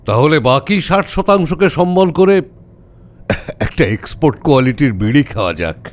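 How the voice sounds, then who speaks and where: A man's voice: on the high side, sing-song, moderate pace. An actor playing a brusque, sardonic older male detective who gives orders in a wry, clipped way in an audio drama. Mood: wry, defiant. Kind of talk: audio story acting.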